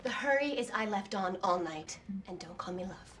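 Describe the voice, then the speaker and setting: A woman's voice: medium pitch, lively intonation, moderate pace, a bit bright, a little hiss or hum, lightly acted. An actress playing a young woman vampire slayer in a TV drama, speaking curtly and dismissively. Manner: curt, dismissive. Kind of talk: TV drama dialogue.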